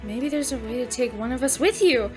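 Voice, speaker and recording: A friendly young cadet guide, acted by a woman in an audio drama. The voice is high, helpful and sincere, explaining things knowingly over faint music. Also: lively intonation, a little fast.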